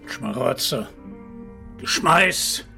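Gravelly, arrogant male fanatic. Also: mid-range voice, animated, extremely slow, sharp tone, soft background music, exaggerated voice. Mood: scornful. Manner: pompous, contemptuous, formal. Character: arrogant, fanatical male killer obsessed with order and power. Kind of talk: radio drama acting.

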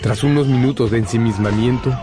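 A man's voice: mid-range voice, a little fast. A man narrating a radio drama in a scripted, dramatic storytelling style. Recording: prominent music under the voice.

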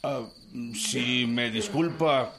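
An actor with a Mexican accent playing a deferential, duplicitous army colonel, formal and courteous, in a radio drama. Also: male voice, medium pitch, extremely slow, a crowd in the background.

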